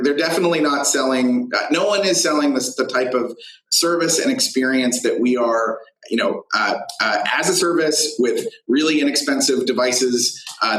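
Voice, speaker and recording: Man answering haltingly in an echoey room. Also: mid-range voice, a bit bright. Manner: halting, filler-heavy, thinking aloud. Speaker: tech company founder and CEO being interviewed. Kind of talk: unscripted business interview answers. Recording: roomy, echoey sound.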